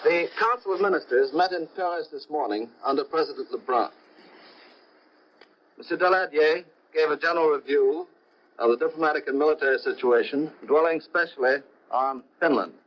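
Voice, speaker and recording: A man with a slightly high voice delivers a formal, measured 1940s news report. He speaks as an overseas correspondent, pausing now and then to find his words. The sound is muffled, low-fidelity old broadcast audio. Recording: dull, lo-fi 1940 shortwave radio broadcast.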